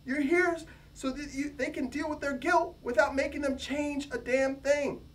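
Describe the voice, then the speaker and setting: A man's voice: fairly even intonation, normal speed. A man voicing an anxious, indignant literature professor, accusing and insistent, in a reading from a novel. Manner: high-strung, insistent, emphatic. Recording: distant mic, faint room noise.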